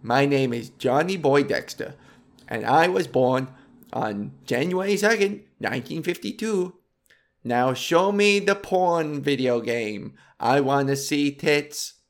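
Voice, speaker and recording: A man's voice: on the high side, animated, slow. A man doing a paranoid kid faking adulthood. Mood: earnest. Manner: earnest, overly careful fake-formal statements. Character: paranoid young boy lying about his age.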